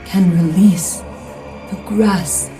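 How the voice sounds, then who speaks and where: A woman voicing a stern, solemn, commanding Greek goddess with measured, imperious speech in a video game cutscene. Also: mid-range voice, fairly even intonation. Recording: echoing, distant-sounding, music behind.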